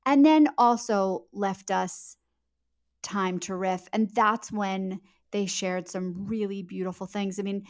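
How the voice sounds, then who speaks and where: A woman's voice: medium pitch, steady tone, slow pace. Earnest woman answering as interview guest.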